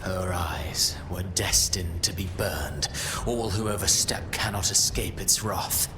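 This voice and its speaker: An actor playing a brooding, ominous villain in a video game, reciting poetic monologues in a grandiose, deliberate way. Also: male voice, low-pitched, music in the background. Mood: mocking, ominous.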